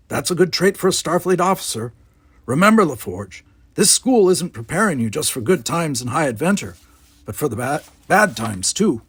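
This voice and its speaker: A grumpy little old gardener, played by a man reading a storybook aloud, speaks in a raised pitch. He is nosy and blunt, barking his words out with heavy emphasis. The sound is muffled in clarity and very close and dry. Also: a bit bright, breathy edge, no room sound. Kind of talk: children's novel read-aloud character voice.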